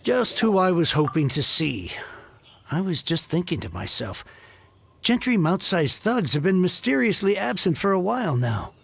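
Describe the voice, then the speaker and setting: A man's voice: sits high, big pitch swings, moderate pace, creaky, a bit bright, a little hiss or hum, full character voice. A man voicing an anxious merchant who stammers and frets in a game. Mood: wary. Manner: nervous, stammers, exclaims. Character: anxious middle-aged male merchant, frazzled and stammering. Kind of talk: game dialogue.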